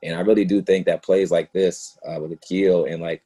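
A man, an actor, talking earnestly and haltingly in a casual discussion. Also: deep voice, little pitch movement, warm tone, husky.

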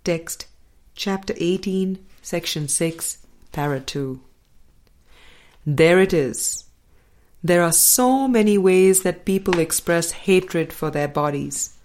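A woman reads a spiritual book aloud in an unhurried, deliberate way, stopping to comment between passages. Her voice sits low, and her phrases often fade to a soft, quiet close. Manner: slow, deliberate, soft-spoken with quiet trailing endings. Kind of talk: measured audiobook-style reading with commentary.

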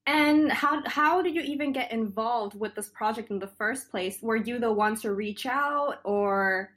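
A woman's voice: a little high, measured pace, fairly bright tone. Young woman, podcast co-host, friendly, hesitant, fillers. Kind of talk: podcast hosting.

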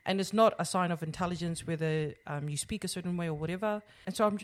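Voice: medium pitch, quick pace. A podcast co-host arguing earnestly in a casual debate, backing points with personal experience. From Pacific Islander community. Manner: earnest, explains with personal examples.

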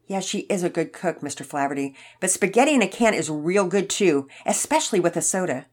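A woman's voice: fairly low pitch, animated, fairly quick. A woman voicing an eager, kindhearted young boy in a story, polite and earnest. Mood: cheerful, eager. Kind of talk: acted character dialogue in children's story narration.

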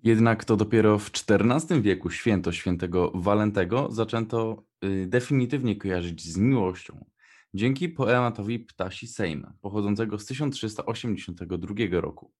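A man's voice: lowish voice, moderate pace, darkish tone, slightly rough. A young man co-hosting a radio show, reading prepared notes and chatting casually. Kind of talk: scripted narration and casual radio chat.

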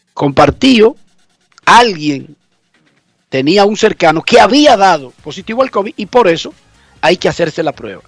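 A man with a notably high voice hosts a casual radio show from his garage, sounding congested with a cold. He talks in a chatty, emphatic way and often repeats his points. The sound is dry and close, with faint music underneath. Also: no echo. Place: home garage.

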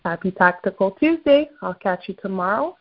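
A woman's voice: mid-range voice, lively intonation, moderate pace, dull audio. A woman from Quebec with a Québécois French accent, a native French speaker, hosting an upbeat morning motivational call and coaching her listeners. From Quebec, Canada. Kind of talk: motivational talk.

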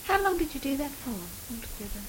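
A woman's voice: high-pitched, sing-song, quick pace. A woman, asking short questions as an oral history interviewer. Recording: faint background noise, amateur interview recording.

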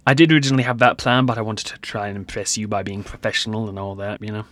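A man's voice: on the low side, steady tone, quick pace, fairly bright tone, no echo, close to an everyday voice. A man playing a talkative, easygoing, joking secondary-school pupil in a light comedy audio drama, rambling playfully. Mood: chatty.

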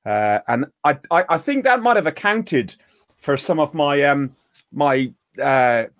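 A man's voice: a little high, lively intonation, unhurried, a bit bright, dry recording. Irish male host, casual and rambling, dry close sound. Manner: rambling, many restarts. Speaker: podcast host. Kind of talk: casual fan chat.

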